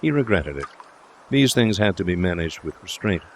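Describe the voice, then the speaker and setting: A man's voice: low voice, very animated, moderate pace. A man reading a story aloud as an audiobook narrator, in scripted narration.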